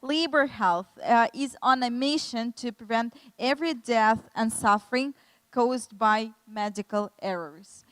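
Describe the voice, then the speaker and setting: Female moderator, high voice, dry close sound, scripted intros. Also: a little slow, fairly bright tone, no echo. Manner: lightly self-deprecating, reads from a script. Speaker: event moderator. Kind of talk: moderating a pitch session.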